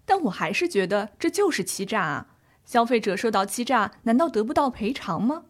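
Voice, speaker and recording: A woman's voice: on the high side, big pitch swings, brisk. A woman playing an earnest, concerned grandchild seeking legal advice in a scripted educational audio drama. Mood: indignant.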